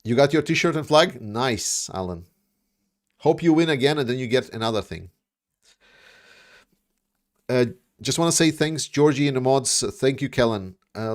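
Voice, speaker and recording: A man's voice: medium pitch, moderate pace. A man hosts a casual livestream and reads out viewer questions. He rambles through his answers with frequent fillers and restarts. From Latvia. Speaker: livestream host.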